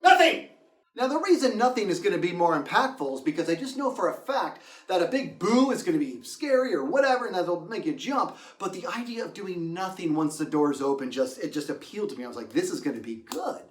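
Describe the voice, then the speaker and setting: Male storyteller, high and very animated, theatrical casual delivery, recorded off-mic in a roomy space. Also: fairly quick, far from the mic. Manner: theatrical, acts out quotes and reactions. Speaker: storyteller, host of the show. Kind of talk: animated casual anecdote telling.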